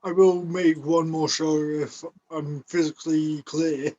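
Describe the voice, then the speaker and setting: A man's voice: on the high side, flat delivery, measured pace, mellow, highs cut off. A young man of about twenty talks over a video call through a laptop mic. His voice sits a little above the usual male range. He speaks in short, plain statements. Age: 20s. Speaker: guest wrestler. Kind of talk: casual video-call chat.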